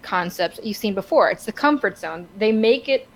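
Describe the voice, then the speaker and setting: A woman co-hosting a podcast in casual, opinionated discussion, halting and stammering as she searches for words. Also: medium pitch, animated. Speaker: podcast co-host. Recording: clear background noise.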